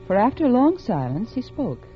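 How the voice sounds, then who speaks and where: A woman's voice: on the high side, sing-song, brisk. An actress playing a scheming, unhappily married woman in love, with earnest, dramatic mid-century radio acting. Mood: confiding, calculating. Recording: dull old radio recording with music.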